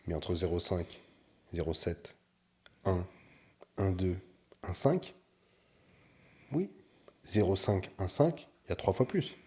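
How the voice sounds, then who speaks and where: A man talking as a podcast guest, animated and explaining his views with examples. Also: low-pitched, slow, long pauses. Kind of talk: casual podcast chat.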